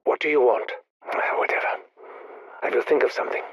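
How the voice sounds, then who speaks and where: An actor playing a secretive, obsessive scientist, formal and measured, muttering a research log in a video game. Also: male voice, no room sound, muffled recording, close to an everyday voice. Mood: impatient. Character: brooding, secretive, obsessive scientist recording private research logs.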